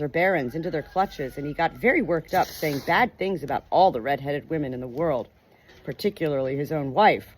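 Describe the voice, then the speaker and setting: A woman narrates a storybook in a lowish voice that moves freely up and down. Her delivery is measured and expressive, with music playing along. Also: poor audio. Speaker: audiobook reader. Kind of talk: storybook narration, read aloud.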